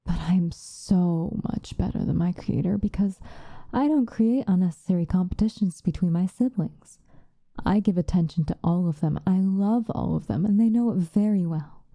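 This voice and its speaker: A woman playing a possessive, obsessive female vampire lover in an ASMR roleplay, sinister yet tender, intimate and breathy, often slipping into whispers. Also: on the low side, dark tone, no echo. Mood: reflective, proud. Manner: intimate, breathy, drawn-out seductive delivery, often dropping into whispers.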